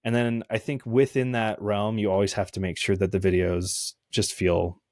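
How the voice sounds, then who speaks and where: A man's voice: fairly low pitch, level tone, normal speed, darkish tone, no room sound. A young man, an indie game developer, talking casually in an interview and rambling with many fillers and restarts.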